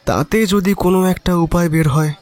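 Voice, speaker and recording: A man's voice: sits high, normal speed, a little mellow, lightly acted. An actor playing a cynical, resigned young neighbourhood man, talking colloquially and wryly in an audio drama. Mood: earnest. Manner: colloquial, emphatic repetition, wry. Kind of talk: Bengali audio drama acting.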